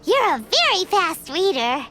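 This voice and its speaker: A woman voicing a tiny, curious, excitable fairy companion in a video game, with a squeaky childlike voice. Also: measured pace, slight background noise, exaggerated voice. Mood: curious, surprised. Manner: inquisitive, exaggerated reactions. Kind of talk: cartoonish video game voice acting. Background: background music.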